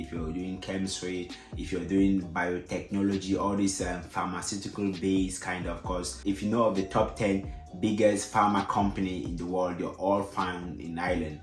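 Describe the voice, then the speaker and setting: A man's voice: deep voice, flat delivery, moderate pace. A young man whose first language is French, giving casual advice in a vlog, rambling with many fillers and restarts. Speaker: vlogger. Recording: echoey room with faint music.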